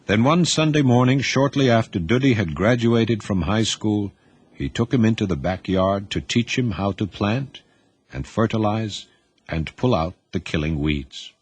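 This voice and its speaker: A man narrating an audio drama in expressive, scripted storytelling. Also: fairly low pitch, measured pace, breathy edge, no echo.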